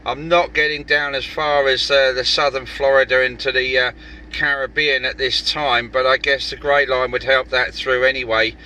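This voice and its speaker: Man on ham radio, very sharp bright voice. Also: medium pitch, fairly even intonation, normal speed, a little hiss or hum, rough recording. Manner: signs off turns with "over". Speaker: amateur radio operator. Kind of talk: casual amateur radio chat.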